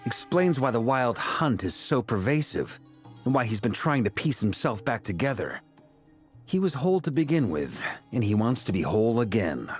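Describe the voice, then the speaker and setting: An actor playing a stern, duty-bound knight in a fantasy game, with a grave, formal manner. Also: male voice, medium pitch, sing-song, normal speed, faint music behind, lightly acted. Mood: thoughtful.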